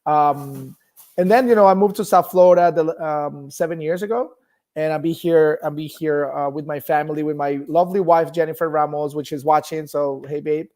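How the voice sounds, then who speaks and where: A man's voice: fairly high pitch, steady tone, normal speed, a little mellow. A middle-aged man with a Colombian accent, a native Spanish speaker, telling his own story informally as an interview guest. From Bogota, Colombia. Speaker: guest, nonprofit executive.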